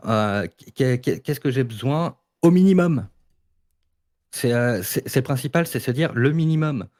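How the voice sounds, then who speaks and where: A man's voice: mid-range voice, lively intonation, unhurried, darkish tone. A man in a casual discussion, explaining his point insistently and repeating his phrases. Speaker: debate participant.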